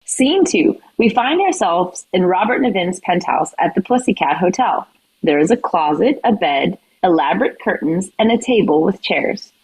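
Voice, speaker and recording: A woman's voice: on the low side, very animated, normal speed, darkish tone, slightly rough, dry recording, poor audio. A woman reading scripted stage directions as the narrator of an audio play.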